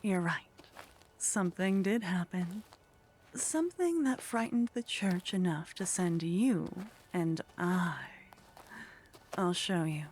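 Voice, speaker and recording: A woman playing a teasing, condescending female vampire in a soft ASMR roleplay, languid and haughty, often slipping into whispers. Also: lowish voice, takes it slowly. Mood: wry, coaxing. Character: teasing, condescending female vampire, sly, centuries-worldly contact.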